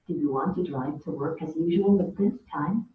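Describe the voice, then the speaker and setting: A synthetic voice speaking as an in-car voice assistant, polite and even. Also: mid-range voice, moderate pace, distant mic, close to an everyday voice. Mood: neutral. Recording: tinny, echoey clip played from afar.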